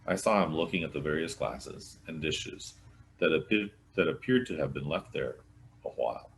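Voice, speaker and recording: Very deep-voiced man reading a mystery aloud. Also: normal speed, a little hiss or hum. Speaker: volunteer audiobook reader. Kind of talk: scripted read-aloud of a mystery novel.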